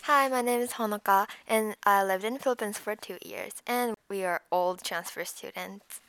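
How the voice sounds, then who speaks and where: A woman's voice: medium pitch, normal speed, fairly bright tone, clean tone, low-quality recording. A woman whose first language is Japanese, speaking English hesitantly as a podcast guest, with many fillers and restarts. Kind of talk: casual chat.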